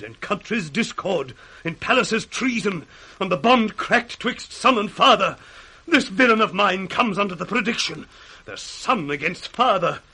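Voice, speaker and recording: Gloomy old british lord, very slow and halting, highish tense voice, old radio drama. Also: male voice, bright, forceful tone, full character voice, rough recording. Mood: agitated, grieving. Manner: portentous, halting, theatrical. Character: aging, troubled, credulous nobleman father, gloomy and superstitious. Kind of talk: 1960s radio Shakespeare acting. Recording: faintly hissy 1960s radio recording.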